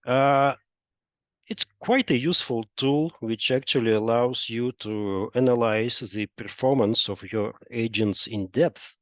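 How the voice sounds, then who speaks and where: A man presenting a software product in a webinar, explaining step by step with frequent pauses and hesitations. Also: mid-range voice. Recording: dull lo-fi roomy online webinar audio.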